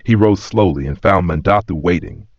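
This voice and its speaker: Deep-voiced solemn male storyteller in echo. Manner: solemn, measured, reverent. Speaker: narrator. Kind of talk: scripted dramatic audio storytelling. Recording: roomy echo, slightly dull sound.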